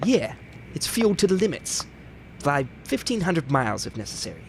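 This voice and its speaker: An actor playing an insolent, crooked smuggler pilot with slangy, sneering speech in old radio crime drama style. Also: male voice, very animated, normal speed, gravelly. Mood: placating. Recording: faint vehicle rumble behind the voice.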